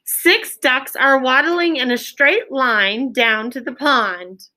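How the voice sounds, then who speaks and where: An American woman speaks as an elementary school teacher leading an interactive lesson. Her voice is high and bright, and she instructs clearly, modeling things for her young pupils. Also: slow pace.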